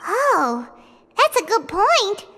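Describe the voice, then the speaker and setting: Tiny game fairy sidekick: extremely high, squeaky, petulant, swooping intonation, reverberant under loud music. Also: female voice, drawn-out words, full character voice. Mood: curious, puzzled. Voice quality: squeaky, childlike. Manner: speaks of self in third person, indignant, expressive. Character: tiny excitable, petulant childlike fairy companion, female. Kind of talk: video game voice acting. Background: loud game background music.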